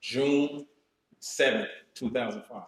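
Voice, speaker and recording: A man in his thirties, a podcast guest telling his own story in a slow, reflective, casual way, often checking in with "you know what I'm saying". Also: mid-range voice. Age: 30s. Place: podcast studio room. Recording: roomy studio echo.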